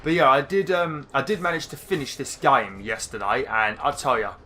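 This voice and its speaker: A man hosting a let's-play, slightly hoarse and chatty as he rambles through game menus. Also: medium pitch, normal speed, bright, forceful tone, slight background noise. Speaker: gaming channel host. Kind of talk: casual let's-play commentary.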